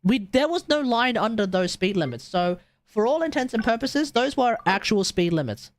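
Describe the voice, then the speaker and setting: Animated, rambling guy streaming. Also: sits high, moderate pace, a little hiss or hum. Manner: animated, rambling, exclamatory, self-correcting. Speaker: live streamer. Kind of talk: casual livestream chat while gaming.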